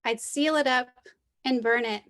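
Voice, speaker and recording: A woman's voice: high-pitched, unhurried, fairly bright tone. A woman speaking as a poetry mentor at an online reading over a video call. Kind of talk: introducing and reading a poem aloud.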